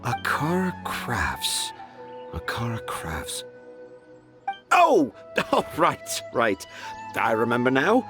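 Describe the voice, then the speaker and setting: An actor playing a self-important children's storyteller in a video game, speaking in flowery, theatrical phrases. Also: male voice, mid-range voice, wildly swooping pitch, takes it slowly, pauses often, over music, full character voice. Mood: wistful, dramatic. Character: dramatic, self-important male children's storyteller with lofty dreams.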